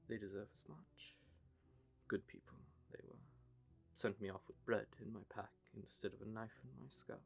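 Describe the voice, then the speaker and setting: A man playing a young knave who tells his story in a wry, rambling way, with dark humour. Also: lowish voice, takes it slowly, creaky, pauses often, husky, soft background music, heavily acted. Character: young male knave, wry, haunted by grief. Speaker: confessing rogue. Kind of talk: hobby audio drama monologue.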